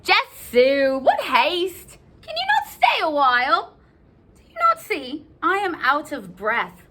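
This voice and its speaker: A woman's voice: high voice, huge pitch swings, slow, sharp tone, voice pushed up, exaggerated voice. A woman voicing a weary, teasing old nurse with groaning, dawdling, melodramatic complaints in stage verse. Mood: breathless, scolding.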